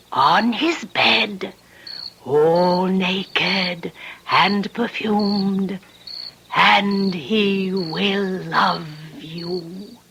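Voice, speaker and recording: A commanding sorceress in an old radio thriller. She speaks very slowly and deliberately, with a bright, incantatory edge. The old recording has faint noise behind her. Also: mid-range voice, creaky, heavily acted. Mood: conspiratorial. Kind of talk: old-time radio drama acting.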